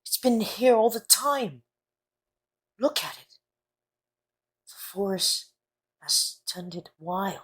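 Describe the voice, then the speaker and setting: A determined young schoolboy hero voiced by a woman in an audio drama. The mid-pitched voice is husky and breathy, pressing on with earnest urgency. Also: lively intonation, takes it slowly, pauses often, a bit bright, full character voice. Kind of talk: fan audio drama acting.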